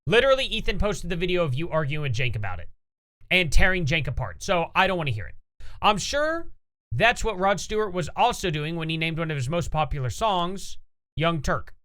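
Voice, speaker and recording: A man's voice: a little high, big pitch swings, a little fast, pushed and bright. A man hosting a casual reaction commentary, opinionated and rambling as he reads posts aloud. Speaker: commentary channel host.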